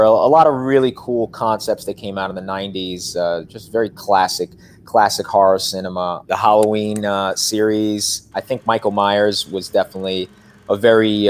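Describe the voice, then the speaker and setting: A young man, an actor, answering interview questions on a video call from his living room couch, animated with lots of fillers. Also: lowish voice, slight background noise. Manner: animated, gestures a lot, frequent fillers. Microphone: webcam on video call.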